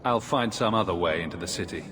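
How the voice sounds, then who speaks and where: Man as a low-voiced, composed young hero. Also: fast, a crowd in the background, close to an everyday voice. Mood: guarded, direct. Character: composed young male mage refugee hero. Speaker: video game protagonist. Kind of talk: video game voice acting. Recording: loud music behind. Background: game music.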